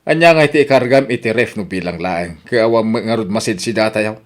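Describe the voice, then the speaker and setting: A man's voice: lowish voice, a little fast, natural voice. A man with an Ilocano accent playing a skeptical, grumbling husband in a comedy radio drama, full of doubting questions.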